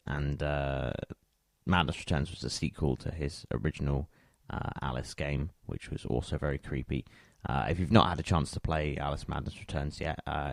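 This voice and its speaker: A man hosts a music show in a casual, unscripted way. His voice is extremely deep and creaks with gravelly fry. The sound is dry and close. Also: no room sound. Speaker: radio show host.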